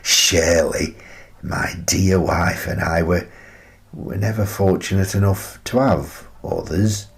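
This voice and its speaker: An actor playing a wry, contented older recluse who muses in a chatty, gently amused way in a radio play monologue. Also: male voice, sits low, slow, gravelly, exaggerated voice. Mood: fond.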